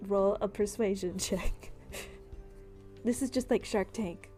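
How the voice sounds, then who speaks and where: A woman's voice: mid-range voice, fairly even intonation, slow, dark tone. A woman acting as game master, narrating and bantering casually in a tabletop roleplaying game. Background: music.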